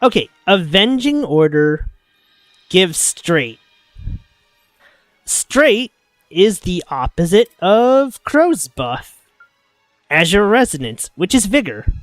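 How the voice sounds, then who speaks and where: Stuffy-nosed dude yapping about a game, slow and drawn out, swears a bunch, dry close sound. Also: male voice, sits high, big pitch swings, no room sound, soft background music. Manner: casual, swears and laughs a lot. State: sniffly, coming down with a cold. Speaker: streamer. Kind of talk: casual game breakdown.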